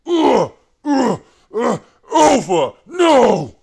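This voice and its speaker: A man's voice: very high-pitched, big pitch swings, drawn-out words, gravelly, a little mellow, breathy edge, pitched above the performer's own voice, heavily acted. A man playing an anguished heroic warrior with emotional, broken delivery in an audio drama. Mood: horrified. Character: heroic male warrior, anguished, devoted old friend. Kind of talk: fan audio drama hero acting.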